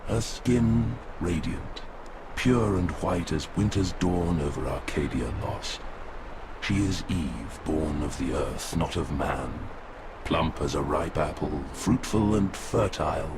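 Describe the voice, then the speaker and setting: An immensely deep-voiced old woodland creature-king speaks with proud, mournful gravity. His delivery is poetic and doom-laden, in video game cutscene style, over clearly audible background ambience. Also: takes it slowly, background noise, heavily acted. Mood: reverent, poetic. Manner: solemn, grandiose, poetic doom-laden pronouncements. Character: melancholy, proud, ancient stag-headed forest king.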